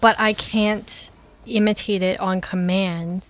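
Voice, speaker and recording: A woman's voice: mid-range voice, fairly even intonation, slow pace. Canadian woman, canadian accent, rambling streamer chat, faint hiss behind. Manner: chatty, rambling, self-deprecating, many fillers; talks while eating. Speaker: game streamer. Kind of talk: casual livestream chat.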